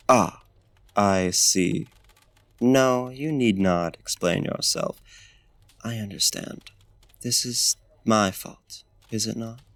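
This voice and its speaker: Courtly vampire gentleman, played by a male actor for ASMR roleplay: low, gravelly, genteel and smitten, speaking softly and formally up close. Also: dry recording. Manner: formal, old-fashioned, genteel diction.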